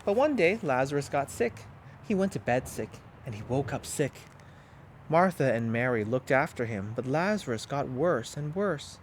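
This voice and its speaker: A man, a pastor, reading a children's storybook aloud with animated, expressive storytelling. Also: mid-range voice, normal speed, a little hiss or hum.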